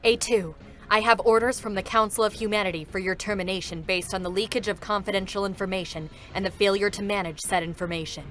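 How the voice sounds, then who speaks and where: A woman's voice: mid-range voice, fairly even intonation, fast, a bit bright, slight background noise, natural voice. A woman voicing a cold, formal female android executioner who speaks politely and icily. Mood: coldly formal. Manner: formal and icy, with a menacing laugh.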